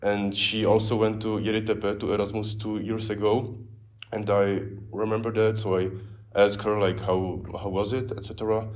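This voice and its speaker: A young man from the Czech Republic answers interview questions in an easy, casual way. His voice is fairly low and flat, and he keeps pausing on fillers and repeating words as he thinks. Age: 20s. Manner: hesitant, many fillers and repeats. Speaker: exchange student.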